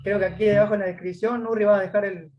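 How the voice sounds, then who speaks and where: A man's voice: sits high, fairly even intonation, fast talker, dull audio, a little hiss or hum. A man from Córdoba with an Argentine accent, telling his story as a guest in a casual interview, hesitating with many fillers. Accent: Argentine Spanish.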